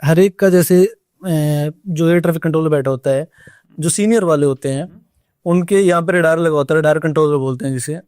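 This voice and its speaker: Higher-voiced man explaining informally. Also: brisk, a little mellow, no echo, studio quality. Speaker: air traffic controller being interviewed.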